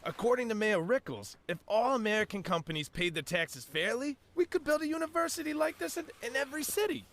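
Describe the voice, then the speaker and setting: A man's voice: very high voice, animated, brisk, few pauses, a bit bright. An actor playing a griping, idealistic young workman in casual video game style. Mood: earnest.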